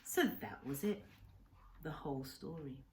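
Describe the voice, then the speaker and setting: Low-voiced woman reading a story aloud. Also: unhurried, darkish tone. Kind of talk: expressive storybook narration. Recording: faint background noise and ambience.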